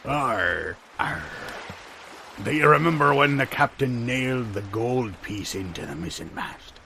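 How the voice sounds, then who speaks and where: A man playing a jolly, muddle-headed old-time pirate sailor with a gravelly growl and rustic sailor talk, in improvised audio comedy. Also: mid-range voice, expressive, a little slow, pushed and bright, a little hiss or hum, exaggerated voice. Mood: rowdy, jolly.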